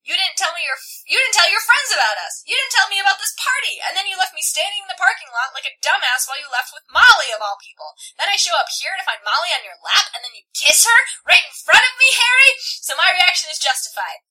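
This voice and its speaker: Woman putting on an outraged college girl, high-pitched, swooping, emphatic, hurt, romance-novel melodrama. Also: sing-song, brisk, sharp tone, heavily acted, rough recording. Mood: indignant. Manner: indignant, dramatic, emphatic. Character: angry, hurt college girl shouting at her boyfriend.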